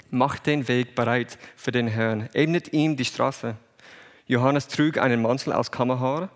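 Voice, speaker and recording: A man with an English-speaking accent reads the Bible aloud and preaches in careful, halting German. He breaks off and restarts words often. His voice carries a long reverb from a large hall, and its pitch sits in an average range. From England, raised in USA. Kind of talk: bible reading and sermon.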